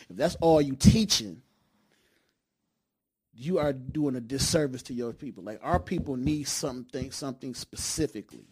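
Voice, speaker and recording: A man's voice: medium pitch, darkish tone, breathy edge. A man from Detroit, a podcast guest, talking with passion in street slang, making points like a sermon. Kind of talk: casual studio conversation.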